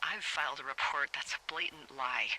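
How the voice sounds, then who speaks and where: An actress playing a wry, caring fire lookout in a video game, talking in a dry, conversational, sometimes hesitant way over a two-way radio. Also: female voice, a bass voice, normal speed, bright, forceful tone, slight background noise, exaggerated voice, low-quality recording. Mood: guilty, wry. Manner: conversational, dry, hesitant when admitting things. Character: wry, caring adult female fire lookout, frank and guilty-hearted. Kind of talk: naturalistic video game dialogue.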